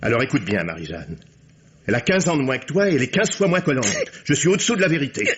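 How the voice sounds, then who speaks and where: An actor playing a cold, sarcastic lover, cutting and theatrical, in a French vintage radio drama. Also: male voice, mid-range voice, sing-song, fast, fairly bright tone, a little hiss or hum. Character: cold, mocking, cruel male lover eager to leave. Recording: dull vintage radio recording.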